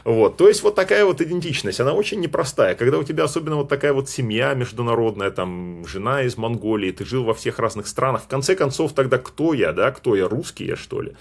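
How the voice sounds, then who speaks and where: A man's voice: medium pitch, expressive, brisk, vocal fry, hardly any pauses. A man from Russia talking in a casual, reflective monologue, hesitant and full of fillers and restarts. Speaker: speaker on personal identity.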